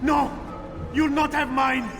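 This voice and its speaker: A terrified, raving male patient in a video game, crying out in a very high, strained pitch. He is frantic and defiant, his drawn-out pleas ringing through a big echoing space over music. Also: flat delivery, exaggerated voice. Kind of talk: dramatic video game acting. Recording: echoey hall with music behind.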